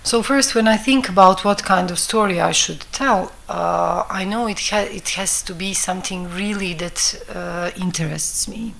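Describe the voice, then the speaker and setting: Low-voiced Bosnian woman, reflective. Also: vocal fry, a bit bright. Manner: reflective, halting phrasing in non-native English. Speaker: film director giving a talk. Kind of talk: informal public talk, conversational.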